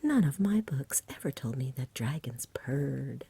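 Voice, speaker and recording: A woman voicing a polite, self-assured, slightly weary country boy in a breathy, whispery ASMR storybook reading. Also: very deep voice, animated, unhurried, dark tone, slight background noise, full character voice. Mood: quietly curious. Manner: matter-of-fact, self-assured.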